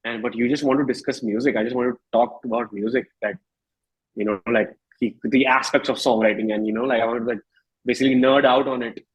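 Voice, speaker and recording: Male, 30s, Indian accent, mid-pitched husky voice; candid interview guest who thinks aloud and rephrases. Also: steady tone, highs cut off. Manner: reflective, halting, rephrasing often.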